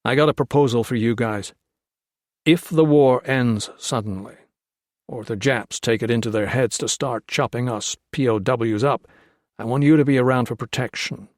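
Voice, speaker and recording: A man's voice: medium pitch, normal speed, dry recording, natural voice. Man voicing a blunt shrewd soldier-trader, cool, businesslike, dry sound. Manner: blunt, matter-of-fact.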